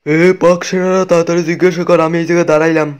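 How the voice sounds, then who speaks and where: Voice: medium pitch, level tone, racing, clean tone, natural voice. An actor playing a bossy, blustering male army officer in a comic cartoon, commanding and scornful.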